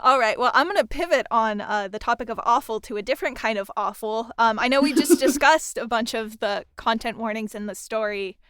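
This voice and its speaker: Woman, interviewer, fairly high voice, smooth and clear, measured questions. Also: a bit bright, no echo, studio quality.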